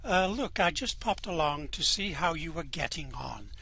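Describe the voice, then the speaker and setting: A man's voice: on the high side, animated, normal speed, vocal fry, pushed and bright, slightly rough, heavily acted. A man voicing a cheerfully evil male alien prison official with a smarmy, falsely polite way of talking, in an amateur radio play reading.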